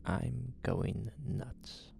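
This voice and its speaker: A man's voice: lowish voice, very slow, gravelly, dark tone, a little hiss or hum, heavily acted. A man playing an agitated forty-year-old shop owner in an audio drama, urgent and disbelieving. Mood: alarmed, disbelieving.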